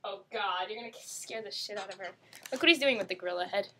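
A young woman chatting casually to camera in an excitable, gushing way, swearing now and then. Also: mid-range voice, fast, a little hiss or hum. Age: young adult. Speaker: video blogger. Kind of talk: casual chat to camera while opening a package.

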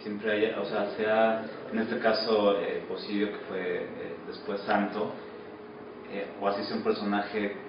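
A man's voice: on the low side, flat delivery, unhurried. A Mexican man in his thirties, an actor, giving casual answers in an interview. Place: small office indoors. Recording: very echoey, muffled, noisy, distant microphone.

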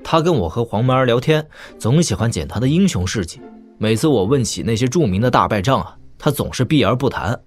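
An actor playing a young prince in an audio drama, talking in a casual, wry, teasing manner. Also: male voice, mid-range voice, lively intonation, a little fast, soft background music, close to an everyday voice. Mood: teasing, warm.

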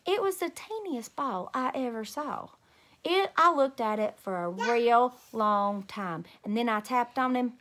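A woman in her thirties reading a children's story aloud in an animated, emphatic way. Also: on the high side, a little slow. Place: small room at home.

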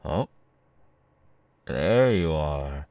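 A man plays a menacing ex-convict kidnapper in an ASMR roleplay, mocking his captive with a halting sneer. The voice is very deep and gravelly, drawn out slowly in a drawl. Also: lively intonation, muffled recording, noisy background, full character voice. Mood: taunting menace.

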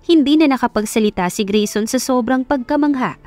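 A woman's voice: high-pitched, brisk, darkish tone. A woman delivering scripted, dramatic narration of a love story as its narrator. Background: background music.

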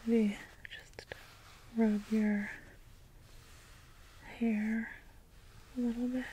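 A woman playing a gentle, naive, literal-minded robot caretaker in an ASMR role play, in a breathy whisper with halting phrases. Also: fairly high pitch, flat delivery, slight background noise, poor audio. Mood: tentative, caring. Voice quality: breathy whisper, mostly unvoiced. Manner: slow, halting, measured phrases, literal and matter-of-fact. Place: indoors, small room, close in front of backdrop. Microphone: close ASMR microphone.

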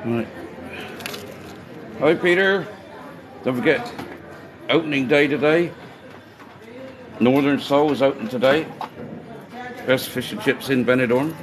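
A man chatting casually as he vlogs his day as a bar worker, rambling and greeting people as he goes, his voice going hoarse. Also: medium pitch, takes it slowly, long pauses. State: voice getting hoarse. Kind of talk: casual walk-and-talk vlog. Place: outdoors on streets by a seafront. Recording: street noise behind the voice.

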